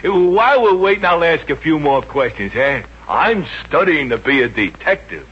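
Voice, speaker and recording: An actor playing a gullible, eager American cop who repeats himself when flustered, in a 1950s radio comedy. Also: male voice, a little high, big pitch swings, moderate pace, slightly rough. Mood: eager, pleased. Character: gullible, eager, dim-witted city cop, would-be detective. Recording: muffled, hissy old radio recording.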